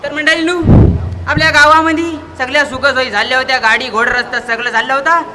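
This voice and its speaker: An earnest village orator played by a stage performer declaims a memorised speech in a very high, piercing voice. The delivery is quick and recited, as in school theatre. A light noise of the live stage surrounds it. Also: steady tone, pushed and bright. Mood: declamatory. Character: earnest village speechmaker repeatedly restarting his rehearsed speech. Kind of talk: declamatory school stage comedy acting in Marathi.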